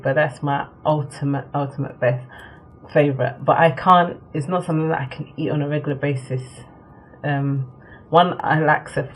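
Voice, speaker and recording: A young woman born in Nigeria talks casually to the camera, answering questions one by one. She fills her pauses with ums and laughs easily. A faint hiss sits under the recording. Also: low voice, rough recording. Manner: chatty, with many ums and quick laughs. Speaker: vlogger.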